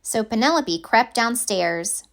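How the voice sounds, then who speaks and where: Expressive, slow-paced woman reading a storybook. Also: a little high. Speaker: story reader. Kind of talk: children's picture book read-aloud.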